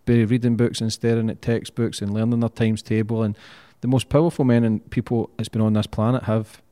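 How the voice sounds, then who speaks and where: A man's voice: fairly low pitch, level tone, a little fast, mellow. A man hosting a podcast, chatting casually with a blunt, encouraging manner and free swearing. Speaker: podcast host. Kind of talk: casual interview chat.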